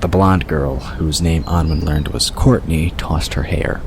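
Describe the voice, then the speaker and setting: A man's voice: very deep voice, fairly even intonation, a little slow, a little mellow. A man reading scripted audiobook narration of a story. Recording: clear noise behind the voice. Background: ambient sound effects.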